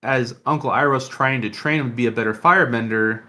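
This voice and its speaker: Man, medium pitch, podcast host, casual conversational delivery. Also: fairly quick, bright, forceful tone.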